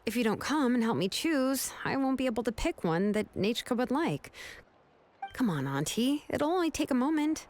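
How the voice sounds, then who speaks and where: A woman's voice: on the high side, big pitch swings, normal speed, a little mellow. A woman voicing a friendly, persuasive young villager in a video game, breezy and familiar. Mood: coaxing. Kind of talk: video game character dialogue.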